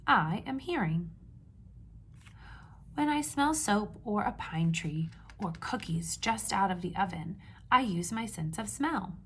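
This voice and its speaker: A woman's voice: medium pitch, expressive, fairly bright tone, slight background noise. A woman reading a picture book aloud to young children like a teacher, asking simple questions and stressing key words. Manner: instructive, asks simple questions and pauses before confirming answers.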